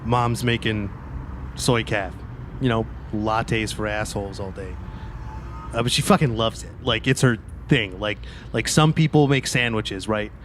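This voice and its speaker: A man's voice: on the low side, unhurried, a little hiss or hum, close to an everyday voice. A man playing a sullen young man in his twenties, blunt and profane, in a tabletop roleplaying game. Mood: bitter, brooding. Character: sullen, sweary 23-year-old man nursing an old grudge.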